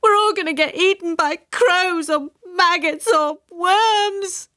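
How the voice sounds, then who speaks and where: A woman's voice: on the high side, measured pace, husky, voice pushed up. A woman voicing a distraught, bitter, melodramatic wailing woman in an audiobook story. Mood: wailing despair.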